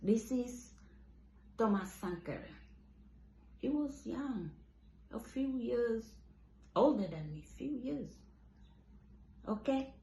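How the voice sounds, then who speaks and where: Slow, emphatic woman in a roomy space. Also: on the high side, lively intonation, vocal fry, dark tone. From Senegal. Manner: emphatic, repeats and restarts phrases. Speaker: commentator. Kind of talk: impassioned monologue on politics. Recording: roomy echo.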